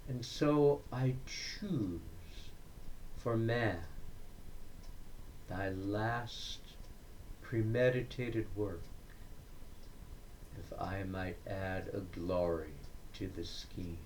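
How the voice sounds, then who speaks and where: Man, lowish pitch, very slow deliberate delivery, declamatory verse reading, frequent pauses, some background noise. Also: a little mellow, low-quality recording. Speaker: poetry reader. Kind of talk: dramatic monologue poetry recitation.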